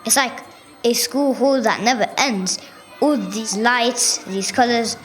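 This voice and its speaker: A woman's voice: on the high side, slow pace, faint music behind. Eager, wide-eyed child explorer, acted by a girl. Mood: amazed. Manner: excitable, wondering. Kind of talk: school radio play acting.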